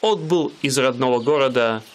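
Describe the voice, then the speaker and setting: A man's voice: medium pitch, normal speed, close to an everyday voice. A young man playing an aspiring painter in a radio play, speaking in an ironic first-person tone. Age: young adult.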